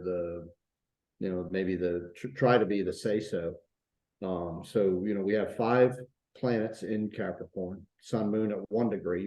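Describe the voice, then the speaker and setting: Easygoing man co-host, low and warm, a bit hesitant, echoey room. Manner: easygoing, supportive, hesitant with uhs. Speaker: astrologer co-host. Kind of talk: casual astrology chat.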